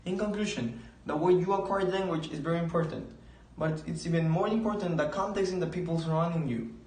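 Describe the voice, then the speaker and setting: A man's voice: a little high, a little fast, a little mellow. Young man, earnest reading, far from mic, echoey room. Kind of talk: read conclusion.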